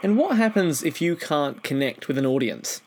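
A man's voice: fairly high pitch, big pitch swings, moderate pace, slight background noise. A man, presenting a podcast and interviewing a guest as its host.

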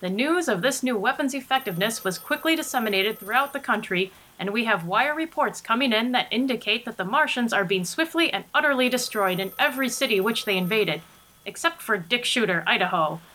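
A woman's voice: a little high, expressive, a little fast, sharp tone, poor audio. A woman playing an earnest, formal news anchor in a 1930s radio drama spoof. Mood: reporting news.

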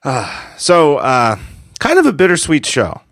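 A man's voice: fairly high pitch, wildly swooping pitch, a little slow, a bit bright, dry recording, clean studio sound. A man in his forties hosting a podcast, chatting casually and rambling with restarts. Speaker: podcast host. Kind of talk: casual chat.

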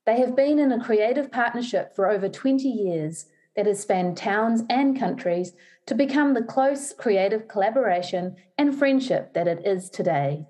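A woman with a New Zealand accent reading a measured, scripted introduction as a podcast host. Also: a little high, animated, mellow.

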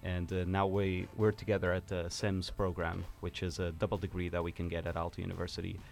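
A man's voice: sits low, level tone, fairly quick, gravelly, darkish tone, soft background music. A young man from Portugal, a student guest on a radio interview, explaining things earnestly with some hesitation.

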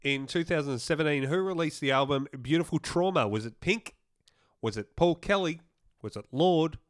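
A man's voice: a little high, normal speed, no room sound, clean studio sound. An Australian man co-hosting a podcast, bantering casually with a mate in a teasing, blokey way. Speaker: podcast co-host and quizmaster. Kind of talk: casual banter between mates. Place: podcast studio.

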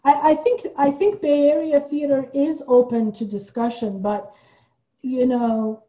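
A woman from Iran speaking as a guest in a reflective interview, thoughtful and halting, often checking in with the listener. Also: high-pitched, steady tone, dark tone, dull audio. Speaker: podcast guest, playwright and theater director.

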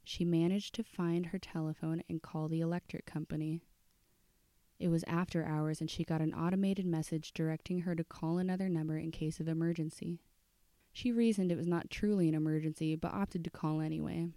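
A woman's voice: sits low, little pitch movement, moderate pace, dark tone, no room sound. A woman narrating a scripted work of fiction as an audiobook reader.

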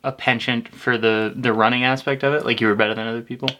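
Bright, forceful male podcast interviewer. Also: mid-range voice, level tone, fast, slight background noise. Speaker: podcast host interviewing an athlete. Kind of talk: casual interview.

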